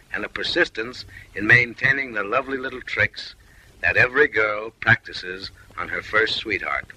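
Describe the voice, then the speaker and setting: A man's voice: medium pitch, sharp tone, poor audio. A man narrating a comic short film in voice-over, mock-refined and wry, lecturing ladies with teasing, suggestive asides.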